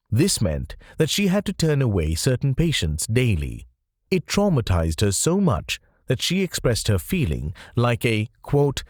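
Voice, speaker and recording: A man reading scripted nonfiction audiobook narration in a measured, explanatory way. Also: medium pitch, a little mellow, no room sound, clean studio sound.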